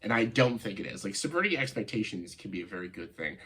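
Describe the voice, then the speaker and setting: A man talks casually and with opinion, as if to viewers of his commentary video. His voice is on the low side and rough-edged, clogged and nasal from a cold. He moves haltingly through his points, stretching the words he wants to stress and peppering them with "like". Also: expressive, a bit bright. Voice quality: congested, nasal voice. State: congested, stuffed-up nose after a cold. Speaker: video host, film commentator.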